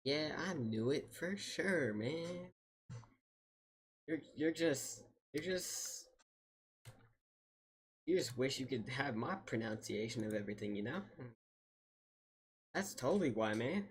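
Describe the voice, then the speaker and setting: A man hosting a livestream, chatting casually with viewers in a playfully defensive, bantering manner with a slightly husky voice. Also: mid-range voice, expressive, slow pace, long pauses, slight background noise. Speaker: livestream host.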